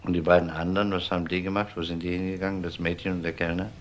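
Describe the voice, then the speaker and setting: An actor playing a methodical, matter-of-fact police inspector in a German crime radio drama. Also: male voice, low-pitched, little pitch movement, slight background noise, lightly acted. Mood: calm, businesslike. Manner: measured, matter-of-fact questioning.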